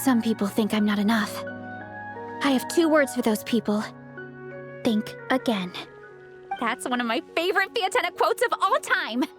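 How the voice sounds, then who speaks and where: High-pitched energetic young anime heroine, played by a woman. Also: normal speed, long pauses, lightly acted. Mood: admiring. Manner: earnest, enthusiastic. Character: energetic big-eating young woman, comic-book superfan. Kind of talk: anime video game dialogue. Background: game music.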